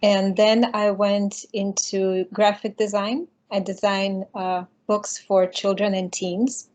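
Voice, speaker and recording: A woman artist guest with a slight Latvian accent, giving brief, soft-spoken answers in a casual interview. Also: medium pitch, level tone, slow pace. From born in Latvia, raised in New York.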